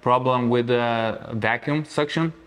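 A man in his thirties with a Lithuanian accent speaks as a production manager. He explains his figures hesitantly, with frequent fillers, at an ordinary pitch in a factory office. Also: unhurried, fairly bright tone.

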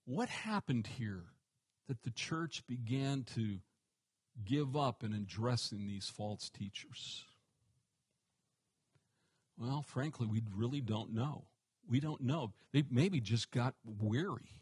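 Husky-voiced pastor teaching a sermon. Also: male voice, medium pitch, slow, pauses often, dry recording, clean studio sound. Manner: animated, gestures a lot, varies loudness for emphasis. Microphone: headset mic.

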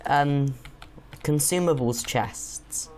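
A young man with a slightly croaky sore throat, chatting and teasing his father while they play a game together, often pausing with ums. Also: on the high side, a little mellow, a little hiss or hum. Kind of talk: casual gaming chat.

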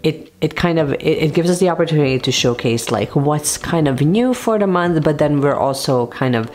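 Very low-voiced woman, chatty stationery vlogger. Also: lively intonation, normal speed, a little mellow. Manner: chatty, conversational. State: voice recovering after losing it. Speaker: host of a stationery channel. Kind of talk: casual product showcase to camera.

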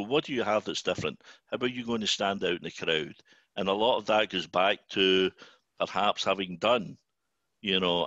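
A man's voice: low-pitched, sharp tone, no room sound, muffled recording. An older Scottish man with a Glasgow accent, speaking informally to students as a guest executive, often repeating words as he starts sentences. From Scotland.

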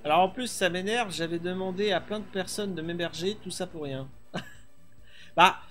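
Relaxed chatty man streaming a racing game. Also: sits high, normal speed, soft background music. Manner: relaxed, chatty, thinks aloud with many fillers. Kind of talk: live gameplay chat.

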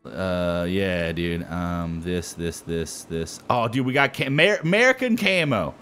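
A young man streaming a game with a friend, joking loudly and swearing as he plays. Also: low voice, animated, a little slow, a little hiss or hum. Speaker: gaming streamer. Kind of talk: casual co-op gameplay commentary.

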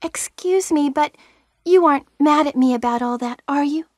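A woman voices a young female rookie medic in a 1990s video game. The voice is extremely high and girlish, recorded dry, with a stiff, eager-to-please delivery. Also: moderate pace, dry recording, lightly acted. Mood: helpful, concerned.

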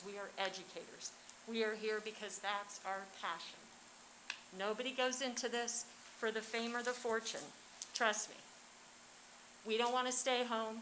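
A woman's voice: fairly high pitch, noisy background. A middle-aged woman giving a public comment speech as a teacher, in emphatic, short declarative sentences on a meeting room microphone. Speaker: teacher addressing a school board. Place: large indoor board meeting chamber.

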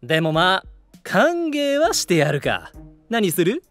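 Drama CD: laid-back schoolboy brawler, rough casual talk, lively mid-range voice, soft music under. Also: male voice, high-pitched, slow, exaggerated voice. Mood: playful. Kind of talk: audio drama acting.

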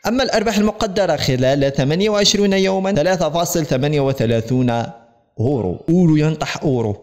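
Very fast-talking young Algerian man. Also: medium pitch, darkish tone. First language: Algerian Arabic. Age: young adult. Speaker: gaming YouTuber. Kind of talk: direct-to-camera vlog in formal Arabic. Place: small room at home set up as a studio.

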